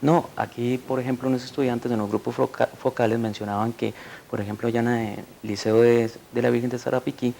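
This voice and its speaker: A man's voice: mid-range voice, level tone, brisk, creaky, dark tone, a little hiss or hum. A man, a researcher speaking conversationally, often tagging "verdad" and giving examples. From Costa Rica. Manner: conversational, repeats "verdad" and "por ejemplo". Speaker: researcher guest. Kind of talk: academic interview answer.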